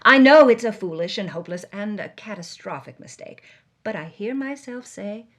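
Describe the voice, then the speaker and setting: A woman's voice: mid-range voice, expressive, moderate pace, fairly bright tone. Woman playing a wry, world-weary movie star. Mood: incredulous, torn. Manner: dramatic, wry, noir-style narration.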